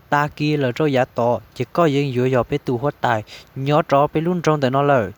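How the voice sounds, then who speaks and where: A man's voice: fairly high pitch, natural voice. Male cartoon character over music, man acting.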